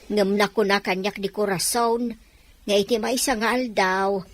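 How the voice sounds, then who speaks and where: A woman's voice: mid-range voice, steady tone, a little slow, no room sound, natural voice. A woman acting a household maid in an Ilocano drama. Her voice is mid-range with an Ilocano accent. She sounds deferential and eager to please those she serves. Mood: nervous, defensive. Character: deferential female house servant, anxious to please.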